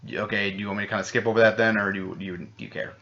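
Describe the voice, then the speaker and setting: Male podcast co-host, low and forceful, excitable and profane, lo-fi audio. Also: rapid-fire. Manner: rambling, swears a lot, excitable. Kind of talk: casual chat.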